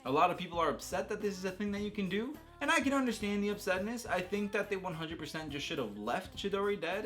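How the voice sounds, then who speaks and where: Animated-talking man with a higher-pitched voice. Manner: enthusiastic, slangy, emphatic with repeated phrases. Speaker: video creator reviewing a game. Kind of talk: casual, unscripted opinion talk to viewers.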